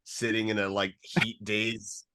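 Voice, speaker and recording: Guy with a lowish voice hosting a casual movie podcast, kinda rambly and earnest, interrupts himself a lot. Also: little pitch movement, a little slow, bright, forceful tone, a little hiss or hum. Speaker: film podcast host. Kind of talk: casual film discussion.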